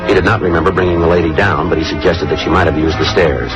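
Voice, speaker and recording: Casting: man to play low-voiced American police detective, terse and matter-of-fact, brisk, muffled old radio with music behind. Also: close to an everyday voice. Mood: flat, factual. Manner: clipped, deadpan, terse. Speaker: detective and narrator. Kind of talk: 1950s radio police procedural narration.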